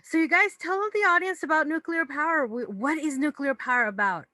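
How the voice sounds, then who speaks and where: A woman's voice: high voice, a little fast, bright, forceful tone, no echo. A woman hosting a casual podcast interview in an effusive manner. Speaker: podcast host.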